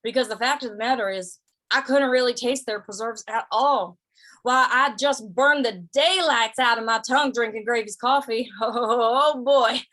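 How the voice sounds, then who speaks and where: A woman's voice: fairly high pitch, normal speed, a bit bright, close to an everyday voice. A woman playing a sly, good-natured western drifter in a radio play, folksy and hesitant, often repeating words. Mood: amused, confiding. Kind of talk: old-time radio western acting.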